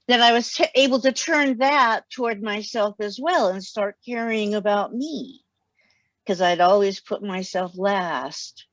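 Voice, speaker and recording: An older woman talks gently and openly on a video call from her home study. Her audio sounds dull and muffled, with a very dry, close sound and no room echo. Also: on the high side. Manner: gentle, plain and open about hard memories. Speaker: guest author. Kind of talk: interview over video call. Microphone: video call, headset.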